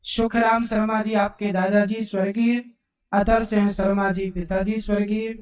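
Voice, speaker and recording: A man with a hoarse throat preaching a devotional sermon to a live gathering, unhurried, asking the crowd questions and answering them himself. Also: high voice, little pitch movement. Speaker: Bhagavat katha preacher. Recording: roomy sound from a distant mic.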